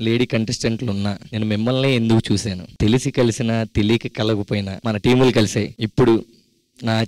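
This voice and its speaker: A man's voice: on the low side, fairly even intonation, fast talker, a little mellow, dry recording. A man with a Telugu Indian accent doing comic, flirtatious banter on a stage show. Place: TV studio stage. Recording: music playing behind.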